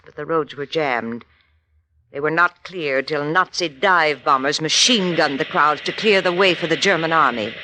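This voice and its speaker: A woman's voice: low-pitched, sharp tone, slight background noise, full character voice. An actress playing an earnest, middle-aged widow telling her wartime story in a 1940s radio drama. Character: widowed settled American lady recounting wartime adventure, earnest, cautious.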